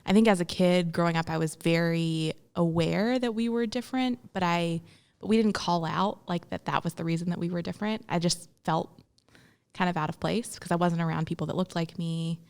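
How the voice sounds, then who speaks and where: Young woman with a low creaky voice, chatting as a guest, thinks out loud with lots of ums. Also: steady tone, a little fast. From Memphis, Tennessee, US. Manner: reflective, with many ums and restarts. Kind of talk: casual interview conversation.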